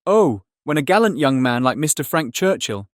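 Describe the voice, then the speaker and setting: A man playing a critical, opinionated gentleman in an audiobook drama, with a British accent and a dry, disapproving manner. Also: a little high, big pitch swings, moderate pace, no echo. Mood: dryly sarcastic. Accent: British English. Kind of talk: dramatized classic novel audiobook.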